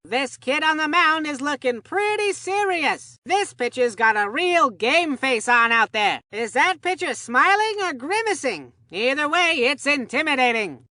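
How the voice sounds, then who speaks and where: A woman voicing an excitable, folksy young baseball commentator in a cartoon video game, gushing in old-time slang. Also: sits high, no echo, studio quality. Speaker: sports commentator. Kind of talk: scripted game sports commentary.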